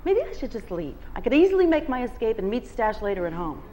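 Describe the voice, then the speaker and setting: Expressive woman reading fiction aloud. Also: on the high side, quick pace. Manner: expressive first-person storytelling. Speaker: guest reader. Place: bookstore reading before an audience.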